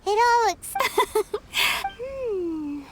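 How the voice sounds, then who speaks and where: A woman voicing a tiny, excitable, food-loving fairy companion in a video game, with a squeaky childlike voice and exclamatory manner. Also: drawn-out words, a little hiss or hum, heavily acted. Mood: eager, hungry. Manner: speaks of herself in third person, exclamatory. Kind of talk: video game character acting.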